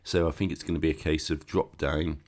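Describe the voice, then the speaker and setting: A man hosting a gaming channel, croaky and stuffed up with a cold, chatting casually through a game walkthrough with sarcastic asides. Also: extremely low pitch, little pitch movement, a little fast, darkish tone.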